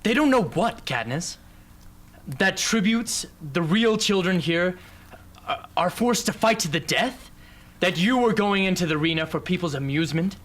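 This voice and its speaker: A man's voice: sits high, animated, moderate pace, fairly bright tone. A man playing a skeptical young hunter in an amateur radio drama, talking in a sarcastic, challenging way. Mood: sarcastic, incredulous. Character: skeptical, sardonic young male hunter friend.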